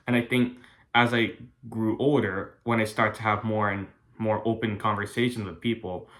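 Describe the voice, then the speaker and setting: A young man from the United States giving a prepared class speech in an earnest, careful, halting way. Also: lowish voice, fairly bright tone. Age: young adult. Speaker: college student.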